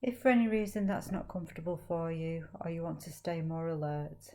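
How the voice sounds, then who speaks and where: Woman, deep-pitched voice, soft mellow tone, slow and soothing, guided mindfulness meditation, long pauses. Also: fairly even intonation.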